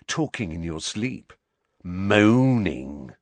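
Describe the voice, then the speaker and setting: A man puts on a big, surly teenage bully boy in an audiobook. He sneers and snarls his boasts with a whiny edge and very animated pitch, captured in a dry, close sound. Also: extremely slow, dry recording, full character voice. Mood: gloating. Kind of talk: acted audiobook dialogue.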